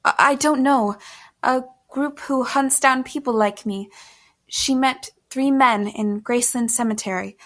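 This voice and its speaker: A high, youthful female voice, acted by a woman playing a hunted young woman in an audio drama. She is frightened and bewildered. Her delivery is earnest and pleading, with hesitant breaks. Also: unhurried, fairly bright tone. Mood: anxious, earnest.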